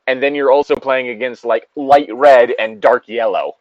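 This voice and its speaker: A man's voice: medium pitch, moderate pace, no room sound, dull audio. A man in a casual chat, rambling along and swearing freely.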